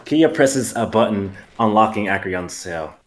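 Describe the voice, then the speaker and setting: A man's voice: lowish voice, animated, a little slow. Man reading stage directions, matter-of-fact. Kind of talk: live dramatic reading.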